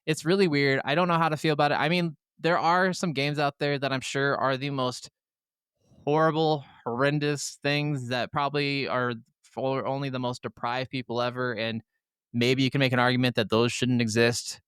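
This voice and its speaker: Clear-voiced man chatting on a podcast. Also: medium pitch, flat delivery, moderate pace, fairly bright tone, no echo, studio quality. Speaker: podcast co-host. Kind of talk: casual podcast chat.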